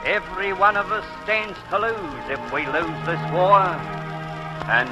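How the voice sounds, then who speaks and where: An actor playing a humble, plucky village grocer with an English working-class accent, folksy and earnest in 1940s radio style. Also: male voice, sits high, lively intonation, measured pace, music in the background, poor audio. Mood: urgent, rousing.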